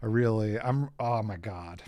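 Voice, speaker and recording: A man with a raspy voice hosting a casual livestream, rambling, sarcastic and quick to get irritated. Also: on the low side, mellow. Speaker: host.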